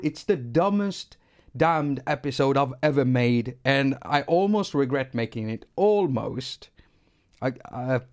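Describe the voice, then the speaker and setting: A middle-aged man from Sweden with a Swedish accent, rambling and self-deprecating as he teaches synthesis on a live stream. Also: medium pitch. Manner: rambling, self-deprecating, repeats words when restarting. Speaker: music producer teaching synthesis.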